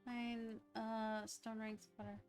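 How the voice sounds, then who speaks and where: A woman's voice: a little high, completely flat delivery, takes it slowly, a little mellow. A woman livestreaming a video game, giving casual play-along commentary in an offhand way, often muttering to herself. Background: game music.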